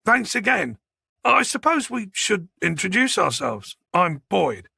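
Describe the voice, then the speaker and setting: A narrator as a modest, creaky-voiced policeman. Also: medium pitch, very animated, unhurried, sharp tone, dry recording, studio quality, pitched above the performer's own voice, heavily acted. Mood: surprised, friendly. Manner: understated, apologetic. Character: modest, slightly awkward policeman and father.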